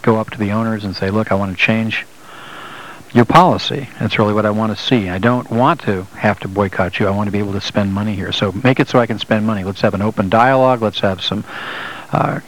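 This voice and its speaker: A man with a fairly low voice hosts a commentary program. He is earnest and opinionated, laying out his views in measured, conversational phrases. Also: low-quality recording. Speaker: radio show host and commentator. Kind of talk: spoken commentary introducing recordings.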